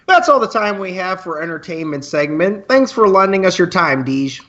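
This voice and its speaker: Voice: mid-range voice, lively intonation, normal speed, fairly bright tone, highs cut off. An actor playing a wisecracking, teasing teenage student radio co-host in an amateur radio play, with playful mock-formality. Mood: wry announcing.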